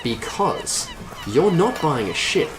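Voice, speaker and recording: Man playing a cocky, very animated smuggler pilot. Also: on the high side, takes it slowly, full character voice. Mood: confident pitch. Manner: glib, self-assured. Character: cocky, wisecracking male smuggler pilot hero. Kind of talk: audio drama acting.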